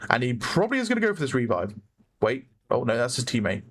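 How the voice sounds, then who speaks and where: Hoarse male esports caster. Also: medium pitch, very animated, fast, fairly bright tone. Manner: chatty play-by-play, laughs often, self-correcting. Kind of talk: live game commentary.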